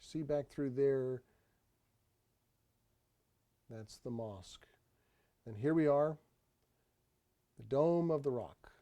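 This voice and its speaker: A man giving an unscripted tour voice-over, explaining sights in a halting way and often repeating his phrases. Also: mid-range voice, mellow. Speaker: tour narrator. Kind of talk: unscripted travel video voice-over.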